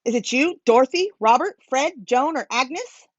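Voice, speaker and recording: Brisk storybook schoolteacher, animated woman reader. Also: high-pitched, slightly rough, dry recording, dull audio, pitched above the performer's own voice, heavily acted. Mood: brisk, firm. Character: brisk female schoolteacher, kindly but firm. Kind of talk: children's storybook character voice.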